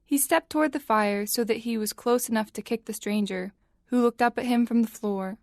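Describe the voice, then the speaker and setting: A woman narrating a scripted story for audio drama in a measured, suspenseful storytelling manner. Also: a little high, darkish tone, no room sound, clean studio sound.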